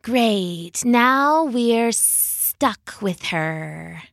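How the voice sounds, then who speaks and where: A woman's voice: a little high, lively intonation, drawn-out words, vocal fry, fairly bright tone, no echo, clean studio sound, heavily acted. A woman voicing a haughty, lazy snake who drawls and hisses with theatrical flair in a storybook reading. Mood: sneering.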